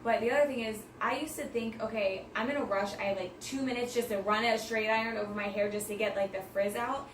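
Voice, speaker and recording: A woman's voice: fairly high pitch, fairly even intonation, fast talker, hardly any pauses, roomy sound, distant mic, a little hiss or hum, low-quality recording. A woman co-hosting a podcast, chatting casually and asking questions between stories about herself. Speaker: podcast co-host. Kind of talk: casual chat.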